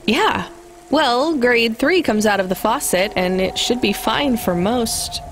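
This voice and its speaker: A woman's voice: a little high, expressive, takes it slowly, slight background noise. A woman playing a weary, guarded but caring young female delivery driver in a video game, with a dry, informal manner. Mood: worried.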